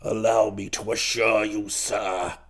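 A storybook old English duke, as a male narrator puts him on, pitched very low. He speaks with clipped, pompous formality and stays icily polite while bristling with offended dignity. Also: little pitch movement, slow pace, fairly bright tone. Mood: icily calm. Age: older.

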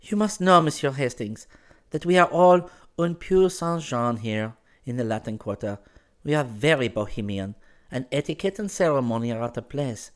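A young woman of the bohemian set, acted out by a male narrator, speaks in a lifted, high voice. Her phrasing is formal and careful, hesitant and guarded, with very lively rises and falls, as in a period storybook romance. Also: moderate pace, lightly acted. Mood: feverish. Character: young French woman, shy, secretive, feverish bohemian.

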